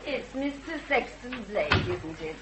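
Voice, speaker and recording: An actress playing an arch, sardonic, teasing newspaper journalist in an old radio drama. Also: female voice, on the high side, expressive, unhurried, heavy background noise.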